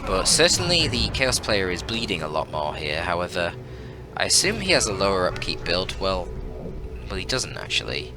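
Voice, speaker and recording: A man commentating on a strategy game match as a shoutcaster, explaining tactics analytically as he goes. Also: deep voice, sing-song, moderate pace, over music. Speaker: esports shoutcaster.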